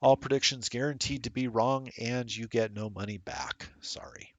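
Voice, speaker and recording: A man's voice: mid-range voice, fairly even intonation, moderate pace, a bit bright, no room sound. A middle-aged man rambling casually while commentating a streamed tabletop game, self-deprecating and chatty.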